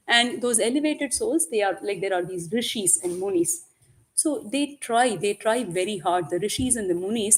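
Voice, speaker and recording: A woman teaching a group about devotional texts, with Tamil as her first language. She explains patiently, poses questions to her listeners and answers them, and uses Tamil and Sanskrit words throughout. Her voice sits at an ordinary pitch for a woman. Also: darkish tone. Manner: mixes in Tamil and Sanskrit terms. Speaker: religious teacher.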